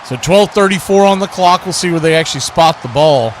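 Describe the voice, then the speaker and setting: A man's voice: on the high side, a little fast, slight background noise. A man calling live football play-by-play in punchy short phrases with colourful action verbs. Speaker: play-by-play commentator, watch party host. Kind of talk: live American football radio-style commentary.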